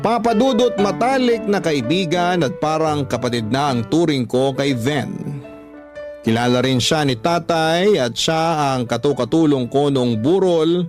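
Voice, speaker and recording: A man's voice: a little high, a little mellow. Man narrating a confessional letter. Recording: faint music bed behind voice.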